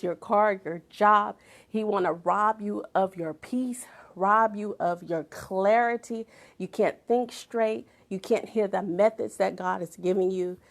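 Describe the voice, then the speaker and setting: A middle-aged woman giving an emphatic inspirational talk, repeating phrases for effect and sometimes dropping to a whisper. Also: mid-range voice. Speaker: motivational speaker and author.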